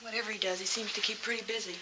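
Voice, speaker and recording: Lady playing wry forties noir singer gal, teasing, medium pitch, loud old film noise. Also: fairly even intonation, very fast, close to an everyday voice. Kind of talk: 1940s film noir acting. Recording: very noisy old 1940s film soundtrack.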